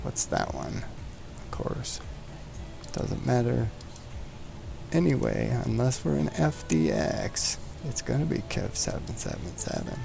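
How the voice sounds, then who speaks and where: A man with a heavily gravelly, fry-filled voice chats at an unhurried, drawn-out pace. He hosts a flight simulator session, joking and muttering to the aircraft while faint music plays behind him. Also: mid-range voice, sing-song. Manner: chatty, joking, talks to the aircraft. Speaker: flight sim streamer host. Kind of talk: casual chat while flying a simulator.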